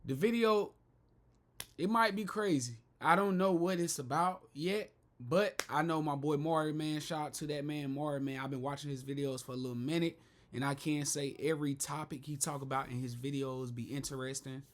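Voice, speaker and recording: A man's voice: a little high, steady tone, normal speed, fairly bright tone. A man hosting a reaction video, talking casually in heavy slang, hyped and repeating his catchphrases.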